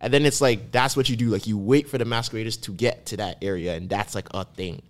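A young man co-hosting a podcast, chatting casually and telling stories in slang. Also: fast. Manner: animated storyteller, slangy, swings pitch widely. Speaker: podcast co-host. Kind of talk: casual chat.

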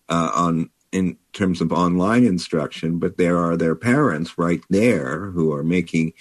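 A man talking as a book editor in a conversational interview, with measured, slightly halting phrasing. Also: low voice, dry recording. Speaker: publisher and editor discussing a book.

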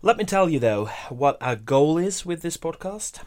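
A man's voice: fairly high pitch, very animated, moderate pace, darkish tone. A young man from Hungary, an English speaker, hosting a casual podcast chat, hesitant with many restarts. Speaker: podcast host.

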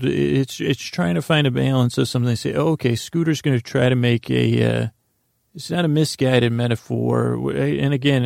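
A man hosting a sleep podcast, rambling through a halting bedtime monologue full of restarts and drawn-out pauses. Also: medium pitch, vocal fry, a little mellow, no room sound, studio quality.

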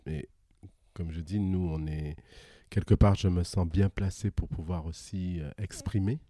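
A man's voice: low voice, steady tone, measured pace, warm tone. A middle-aged man from Guadeloupe, a guest in a casual interview, talking hesitantly with many restarts and easy laughter.

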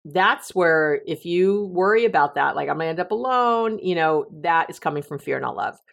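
A middle-aged woman hosting a relationship advice podcast, talking casually on her own, joking and laughing at herself, with frequent restarts. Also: medium pitch. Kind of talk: casual solo podcast talk.

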